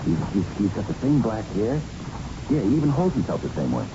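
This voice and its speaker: An actor in a radio drama playing an elderly, gentle, wistful man of the boxing world, speaking in a halting, hesitant way. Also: on the low side, sing-song. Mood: casual, interested. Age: older. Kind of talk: 1970s radio drama acting and narration. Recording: muffled, noisy old radio broadcast recording.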